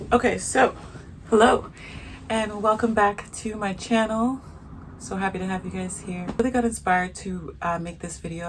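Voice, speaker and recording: A woman with a soft voice giving her frank opinions in a casual vlog, talking outdoors in the sun and repeating her points for emphasis. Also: on the low side, slight background noise. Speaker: vlogger.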